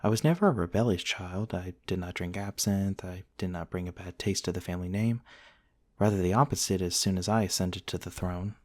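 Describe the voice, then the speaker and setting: A man playing a gentle, eccentric vampire prince from the nineteenth century, softly telling his story in an intimate, genteel ASMR roleplay monologue. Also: deep voice, close to an everyday voice. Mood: rueful, bitter. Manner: soft-spoken, wistful, genteel storytelling with pauses.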